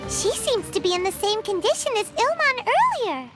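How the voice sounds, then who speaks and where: A woman voicing a tiny, childlike, excitable fairy companion in a video game, wide-eyed and exclaiming. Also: very high voice, moderate pace. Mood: concerned. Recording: game music behind the voice.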